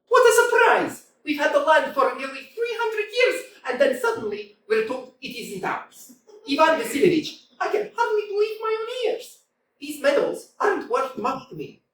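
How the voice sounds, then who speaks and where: A woman's voice: high voice, wildly swooping pitch, moderate pace. A woman voicing an indignant, proud young landowner in a staged reading of a stage comedy, with an emphatic, argumentative delivery. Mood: furious. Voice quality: emphatic, argumentative, sing-song outbursts. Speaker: community theater actor. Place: small community theater. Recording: echoey room, from far off, faint noise.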